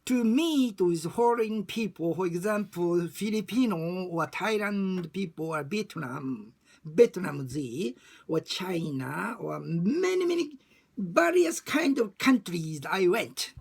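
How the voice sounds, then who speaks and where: A woman with a Japanese accent speaking non-native English in a halting, emphatic way, repeating phrases as in an informal personal talk. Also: fairly low pitch, animated, creaky, darkish tone, husky. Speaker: discussion group chair and facilitator. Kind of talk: informal monologue about personal experience.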